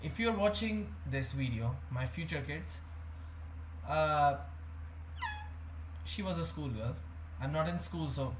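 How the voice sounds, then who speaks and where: A man's voice: a little high, animated, slow pace. A young man, talking hesitantly to the camera in a rambling confessional vlog, full of fillers and restarts. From India. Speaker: vlogger. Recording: echoey room with faint background hum.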